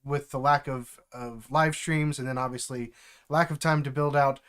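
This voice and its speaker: A middle-aged man, stuffed up from allergies, chatting casually on a live stream from home, halting and full of fillers. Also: mid-range voice, steady tone, fairly bright tone, clear, resonant tone. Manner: halting, with many fillers and restarts. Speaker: streamer and developer mentor.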